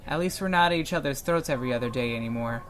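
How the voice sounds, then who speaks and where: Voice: medium pitch, rapid-fire, a little hiss or hum, close to an everyday voice. A performer voicing an easygoing, encouraging firearms mentor in a fan-made anime audio drama, talking casually and frankly. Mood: candid, relaxed.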